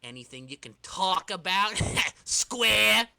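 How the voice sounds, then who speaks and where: Man doing a high, breezy teasing young pal. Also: animated, takes it slowly, vocal fry, sharp tone, no room sound, exaggerated voice. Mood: teasing, cheery. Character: Flash, cheery teasing young male old pal.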